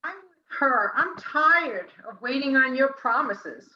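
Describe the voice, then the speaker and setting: A woman's voice: sits high, animated, slow pace, sharp tone, dull audio, full character voice. An actress playing a detective's long-suffering girlfriend in a campy 1940s-style noir radio play. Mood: impatient. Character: detective's impatient but easily won-over girlfriend.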